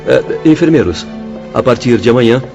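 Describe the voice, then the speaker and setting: A man's voice: mid-range voice, lively intonation, moderate pace, vocal fry, warm tone. A man playing an authoritative, caring doctor in a radio drama, formal and commanding. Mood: kindly authority. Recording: dull audio over music.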